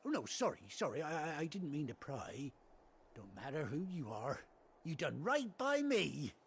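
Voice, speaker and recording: An actor playing a chatty, kind-hearted rustic trader in a video game, with a British accent and a folksy, hearty, colloquial way of talking. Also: male voice, fairly high pitch, big pitch swings, normal speed, a bit bright, breathy edge, heavily acted. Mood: apologetic. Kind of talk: video game cutscene acting. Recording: game music behind the voice.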